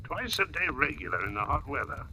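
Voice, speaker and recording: A man's voice: medium pitch, sing-song, a little fast. An actor playing a brooding, intensely serious tradesman in old-time radio drama style, blunt and clipped. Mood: uneasy. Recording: hissy, noisy old radio recording.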